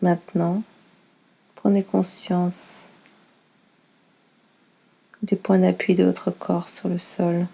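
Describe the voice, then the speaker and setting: A woman leading a guided yoga nidra relaxation as instructor, soothing and unhurried, speaking in short phrases with pauses. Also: on the low side, level tone, creaky, dark tone, slightly rough, poor audio.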